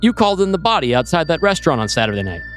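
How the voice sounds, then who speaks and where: A man voicing an older police chief in an audio drama, courteous, measured and politely evasive. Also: a little high, very animated, faint music behind, pitched above the performer's own voice. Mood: smooth, official. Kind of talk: audio drama table-read acting.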